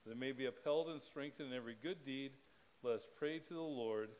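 A middle-aged man leads a spoken church liturgy from the pulpit. His reading is measured and steady, with an even cadence. He speaks into a pulpit microphone in a church sanctuary. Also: mid-range voice, poor audio. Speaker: pastor.

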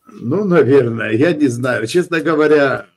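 A man's voice: medium pitch, a little slow. Older male host on a webcam call. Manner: chatty, reads out viewer questions. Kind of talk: casual interview over video call. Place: room at home, indoors. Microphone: webcam on video call.

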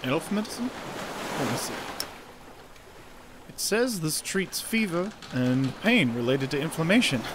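A dutiful royal aide in a fantasy audio drama. He is polite and formal, with clear sounds of water and ship ambience behind him. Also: fairly high pitch, sing-song, unhurried, long pauses, noisy background. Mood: courteous. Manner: formal, courteous.

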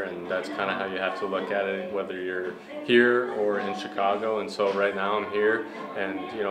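A young man, a baseball player, answering post-game interview questions in an upbeat, humble way with many fillers. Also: on the low side. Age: young adult. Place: ballpark media room in front of a sponsor backdrop. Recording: echoey room with music behind. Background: music playing in the clubhouse.

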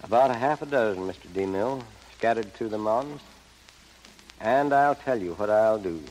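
A man's voice: on the low side, expressive, normal speed, a little mellow. An older man speaking in a folksy, plainspoken way as a guest in a scripted 1930s radio interview. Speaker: gold prospector guest. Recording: noisy, echoey 1930s radio broadcast.

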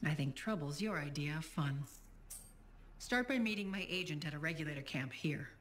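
A woman's voice: low voice, lively intonation, moderate pace. A woman voicing a cool, businesslike spymaster in a video game, composed and matter-of-fact. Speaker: intelligence agent handler.

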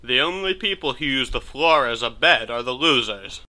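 A man's voice: mid-range voice, expressive, moderate pace, pushed and bright, dry recording. A man voicing an earnest, exasperated young detective's assistant in an anime fan dub, with stilted, scolding delivery. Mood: exasperated, serious.

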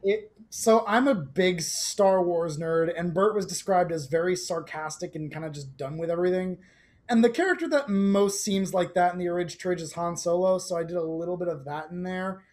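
A man's voice: high-pitched, moderate pace, darkish tone. A young man, a voice actor, casually explaining himself on a group video call. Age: young adult. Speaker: voice actor in a cast Q&A.

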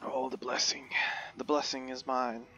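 A man's voice: medium pitch, level tone, normal speed, a bit bright. A man hosting a casual game let's play, rambling and hesitant, his voice a bit crackly. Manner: rambling, hesitant with many fillers. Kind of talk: casual gameplay commentary.

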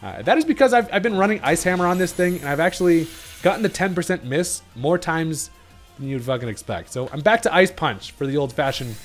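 Chill guy cursing casually while walking through his game match, voice kinda rough from being sick, faint music under it. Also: a little high, expressive, fairly quick. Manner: laid-back, jokey, swears casually. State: recovering from illness, voice slightly off. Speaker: gaming commentator. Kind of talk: casual gameplay commentary.

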